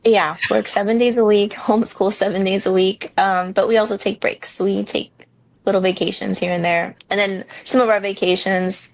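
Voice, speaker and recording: A woman in her thirties from New Jersey, a real estate broker answering interview questions in a frank, relaxed way with many ums. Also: mid-range voice, moderate pace, creaky, no room sound, poor audio.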